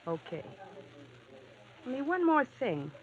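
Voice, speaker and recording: A woman's voice: sits high, very animated, takes it slowly, exaggerated voice. A plainspoken, wry young woman who stays loyal and suspicious. Her delivery is blunt and level. The old radio recording is dull, with faint hiss. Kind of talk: 1940s radio acting.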